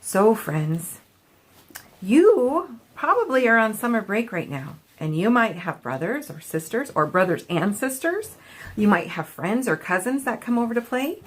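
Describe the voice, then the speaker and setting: A woman's voice: on the high side, very animated, measured pace, slightly rough. A woman hosting a children's storytime, reading a picture book aloud expressively and chatting with a child. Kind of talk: animated read-aloud for children.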